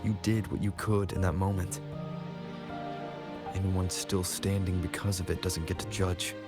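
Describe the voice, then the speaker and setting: A man voicing a stoic, fair-minded hero in a video game, with plain, reserved and measured speech. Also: low-pitched, little pitch movement. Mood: reserved, sober. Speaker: game character voice. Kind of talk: restrained dramatic game dialogue. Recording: loud music behind.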